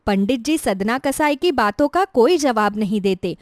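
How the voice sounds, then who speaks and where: Female storyteller for animated moral tales, high lively voice, scripted devotional narration. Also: moderate pace, no room sound, clean studio sound.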